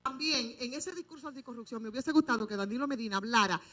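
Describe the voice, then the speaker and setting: A middle-aged Dominican woman speaks in a high voice on a studio talk show panel. She argues insistently and pushes through interruptions to make her point. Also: fast, fairly bright tone. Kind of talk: heated live political debate. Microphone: handheld microphone.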